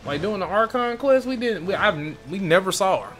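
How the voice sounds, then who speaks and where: A man's voice: high voice, lively intonation, moderate pace, a bit bright. A man giving casual gameplay commentary, slangy and profane. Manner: slangy, profane, reacts to the characters. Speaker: video game streamer. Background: game music.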